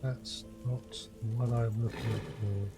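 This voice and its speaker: A man giving casual, informal commentary as he streams a game, chatty and unhurried. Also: medium pitch, little pitch movement, creaky. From United Kingdom. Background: faint game music.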